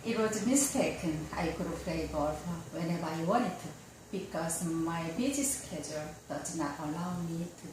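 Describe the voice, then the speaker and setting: A woman attorney whose first language is Korean, answering interview questions in slow, careful, somewhat formal English. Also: on the low side. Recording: roomy, distant mic with faint noise.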